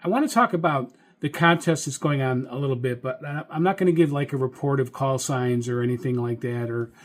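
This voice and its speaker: An American man, a radio hobbyist host, giving informal tips in a halting, rambling, explanatory way. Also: medium pitch. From United States. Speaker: amateur radio operator and host. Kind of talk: informal instructional talk.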